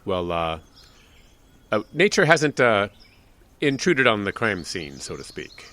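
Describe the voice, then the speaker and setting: A man's voice: lowish voice, big pitch swings, slow pace, pushed and bright, no echo, full character voice. A man playing a dry, guarded small-town sheriff in a radio drama.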